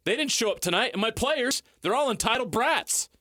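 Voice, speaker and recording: Male sports talk host, highly animated and emphatic, arguing his point in a dry, close studio sound. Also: a little fast, no echo. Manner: emphatic, rhetorical questions, repeats phrases for stress. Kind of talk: heated sports commentary and debate.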